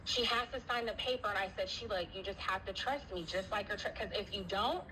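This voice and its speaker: A woman's voice: medium pitch, a little fast, sharp tone. A woman in a heated personal argument on a phone call, speaking emphatically and repeating herself to press her points. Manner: emphatic, repetitive, talks over the other speaker. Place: phone call with noise behind. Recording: noisy phone call recording.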